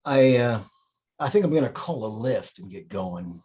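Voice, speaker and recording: Casting: male actor as despairing priest, forties, hesitant and stammering, rough-edged husky voice, mid pitch. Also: lively intonation, moderate pace, dark tone. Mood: awkward, apologetic. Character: despairing forty-something priest, hesitant, quietly guilt-ridden. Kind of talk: screenplay table read.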